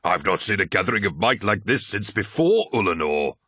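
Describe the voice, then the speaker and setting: A jovial, teasing brother-in-arms, played by a male story reader. The voice is animated and a little rough, full of mocking banter and hearty confidence. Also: lowish voice, brisk, dry recording, clean studio sound, full character voice. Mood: confident.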